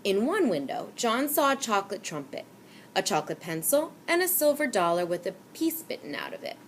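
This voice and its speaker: Expressive woman reading a children's book. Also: medium pitch, a little fast, slight background noise. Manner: expressive, animated storytelling. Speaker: reader. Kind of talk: reading a children's novel aloud.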